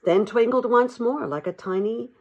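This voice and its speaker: A woman's voice: on the high side, normal speed, highs cut off. A woman narrating a classic storybook expressively, as an audiobook reader.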